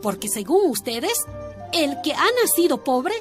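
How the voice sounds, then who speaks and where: A woman's voice: high voice, big pitch swings, unhurried, music in the background. An actress playing an outspoken, defiant working-class woman in a radio drama, arguing passionately with a Bolivian Spanish accent. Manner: passionate, argumentative, indignant.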